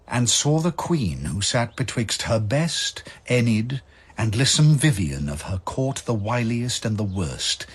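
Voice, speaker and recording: Guy narrating epic poetry, solemn n slow, deepish breathy voice, dramatic pauses.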